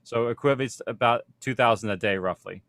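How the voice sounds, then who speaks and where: A man's voice: medium pitch, fairly quick, a bit bright, no echo. Casual man explaining, close dry sound. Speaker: audio production professional on a panel. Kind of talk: informal panel discussion.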